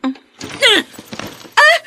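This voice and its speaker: A woman's voice: drawn-out words, over music. A woman playing a mistreated poor schoolgirl in a Filipino radio drama, wounded and emotional. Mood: hurt, pleading. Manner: plaintive, pleading.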